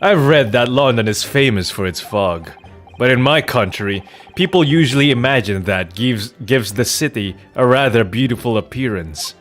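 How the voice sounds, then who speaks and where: A man's voice: mid-range voice, animated, normal speed, a bit bright, soft background music, lightly acted. A man voicing an earnest, polite and hesitant young defense lawyer in a courtroom video game. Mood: polite, unsure. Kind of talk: dramatic video game dub.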